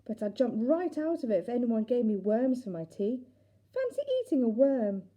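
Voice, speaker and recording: A woman's voice: on the high side, very animated, moderate pace, dark tone. A woman voicing a squeamish, sceptical young girl in a children's storybook, with a blunt, exclamatory manner. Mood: disgusted. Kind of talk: children's storybook character reading.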